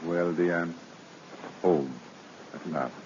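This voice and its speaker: An actor playing a doting, eager gentleman in an old radio drama, affectionate and brisk in his talk. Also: sits low, fairly even intonation. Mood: fond. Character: doting, eager wealthy gentleman, devoted fiancé. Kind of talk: 1930s-40s radio drama acting. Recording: dull old radio recording, faint noise.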